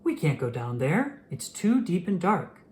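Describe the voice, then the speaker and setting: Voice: mid-range voice, sing-song, unhurried, darkish tone, a little hiss or hum. A storyteller voicing an ordinary fish in a picture book, complaining and fearful, with a protesting manner.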